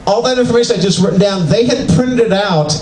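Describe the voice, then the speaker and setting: An older man doing a self-mocking, animated stand-up comedy set in a small venue. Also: high voice, fast talker, slight background noise. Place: small venue with live audience. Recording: echoey, distant club recording with crowd.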